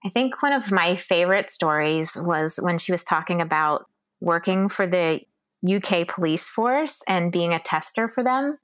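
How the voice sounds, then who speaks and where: A woman's voice: fairly low pitch, expressive, normal speed, fairly bright tone. A woman co-hosting a podcast who sums up an interview in an enthusiastic, upbeat way. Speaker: podcast co-host. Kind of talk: podcast wrap-up commentary.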